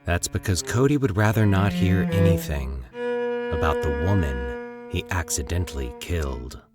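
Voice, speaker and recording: Deep-voiced man narrating horror tales. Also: expressive, over loud music. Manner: theatrical, measured storyteller. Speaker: podcast host and narrator. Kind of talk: scripted horror story narration.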